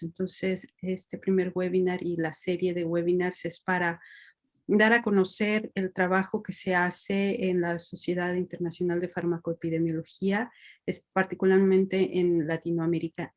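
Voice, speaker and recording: A woman's voice: fairly low pitch, flat delivery, normal speed. A woman moderating a webinar, explaining its aims steadily over a video-call mic. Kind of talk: introducing a webinar.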